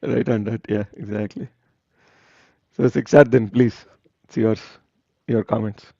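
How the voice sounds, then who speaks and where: A man's voice: fairly low pitch, fairly even intonation, measured pace, creaky, mellow, no echo, dull audio. A man from India hosting an online reading group, chatting casually, laughing often and hesitating with many fillers.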